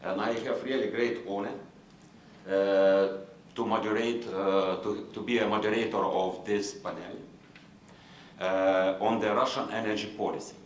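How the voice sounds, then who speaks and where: A low-voiced man with a Lithuanian accent moderates a conference panel in formal, halting English, stopping often on fillers. The room sound is roomy, with a faint hiss underneath. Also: fairly even intonation.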